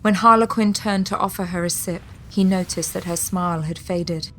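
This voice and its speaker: A woman's voice: on the low side, fairly even intonation, moderate pace, fairly bright tone, slight background noise, low-quality recording. A woman delivering scripted dramatic narration as the storyteller of an audio drama.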